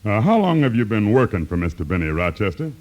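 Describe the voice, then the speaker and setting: An actor playing an easygoing, curious train porter in a 1950s radio comedy, asking folksy questions. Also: male voice, on the low side, very animated, quick pace, darkish tone, muffled recording. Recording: hissy old radio recording.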